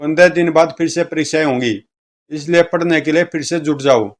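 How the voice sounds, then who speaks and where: Guy reading out comic strips, does all the dialogue himself, voice a bit on the high side. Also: a little fast. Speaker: comic book reader, narrator.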